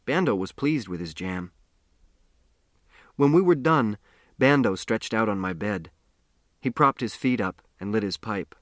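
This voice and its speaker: A man's voice: fairly low pitch, animated, unhurried, long pauses, a bit bright, dry recording, clean studio sound. A man narrating a first-person story as a scripted audiobook.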